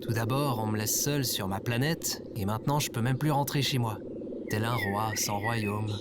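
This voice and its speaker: A stubborn, exasperated self-appointed king of a deserted planet, acted by a man. He plays it in an everyday voice for audio comedy, with loud noise around him. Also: fairly low pitch, moderate pace, natural voice. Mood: dejected. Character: stubborn, exasperated self-styled ruler of empty Earth. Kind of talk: comedic audio fiction acting.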